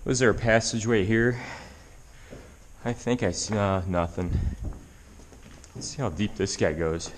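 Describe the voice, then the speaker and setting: A man's voice: fairly low pitch, a little slow, pauses often, a little hiss or hum. A man chatting casually to his camera as he explores a cave, thinking aloud as he goes. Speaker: vlogger exploring caves. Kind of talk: casual handheld exploration commentary. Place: inside a limestone cave.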